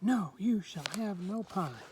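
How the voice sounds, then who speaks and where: A man's voice: high-pitched, expressive, slow, darkish tone, slight background noise. A man voicing a strict but fond mother cat in a storybook rhyme, exclaiming and drawing out the words. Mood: scolding.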